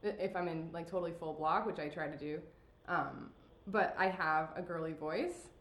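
A woman hosting a podcast in casual chat, blunt and profane and quick to laugh. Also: low voice, noisy background. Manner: laughs often, blunt and swearing. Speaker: podcast host. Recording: roomy echo.

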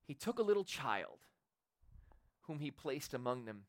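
Loud, bright-voiced male preacher. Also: mid-range voice, unhurried, no echo, clean studio sound. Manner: loud, animated preaching that builds in intensity. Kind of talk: sermon. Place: church sanctuary with a congregation. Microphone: handheld or stage microphone.

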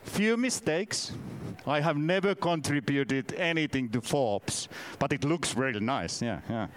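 Halting Finnish-accented man presenting. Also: a little high, expressive, slight background noise. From Finland. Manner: halting, self-deprecating, restarts often. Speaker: conference speaker. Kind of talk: conference presentation. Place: conference session room.

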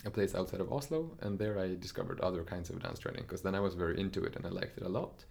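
Low gravelly young man, hesitant. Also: fast, a little mellow. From Norway. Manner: thoughtful, hesitant, many fillers and restarts. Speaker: dancer, interview guest. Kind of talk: casual interview talk.

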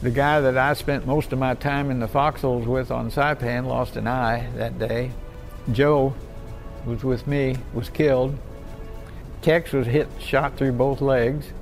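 Male actor as WW2 veteran, creaky voice, halting plain recollection, faint music behind. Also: mid-range voice, steady tone, natural voice, rough recording. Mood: somber recollection. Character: American WW2 veteran plainly recalling his war memories. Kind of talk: conversational reminiscence in a radio drama.

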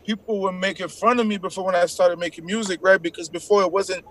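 A man's voice: high voice, fairly even intonation, fast talker, fairly bright tone. A man from Canada with a French Canadian accent and French as his first language, a rapper talking casually and in a rambling, slangy way as an interview guest.

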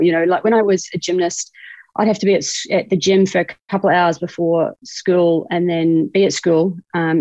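A woman's voice: fairly low pitch, a little mellow, no echo. Chatty New Zealand woman, muffled sound. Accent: New Zealand, softened by years in Australia. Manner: chatty, many ums and restarts. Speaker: podcast guest. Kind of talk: conversational interview answers.

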